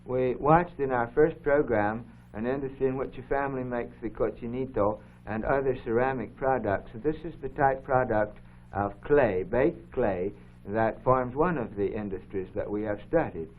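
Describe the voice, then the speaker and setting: A thin, band-limited 1950s broadcast recording carries a man's voice of medium pitch. He explains things step by step like an educator, in a formal, measured way, commenting on what is being shown. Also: narrow, tinny audio, slight background noise. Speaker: co-host of an educational program. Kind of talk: unscripted explanatory commentary over film footage.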